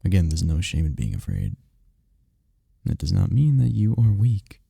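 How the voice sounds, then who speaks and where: A man playing a tender, powerful incubus boyfriend in an intimate roleplay ASMR, speaking softly and soothingly with unhurried, caring murmurs. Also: huge pitch swings, no echo, full character voice. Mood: gentle, reassuring. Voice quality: deep, dark, gravelly, husky. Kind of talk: intimate roleplay ASMR, scripted comfort monologue. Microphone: close mic, dry.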